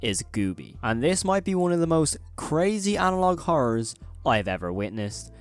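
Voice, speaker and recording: A man's voice: a little high, big pitch swings, moderate pace, soft background music. A man hosting a video in a commentary voice-over, talking in an emphatic, wordy way with repeated phrasing. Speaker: video host.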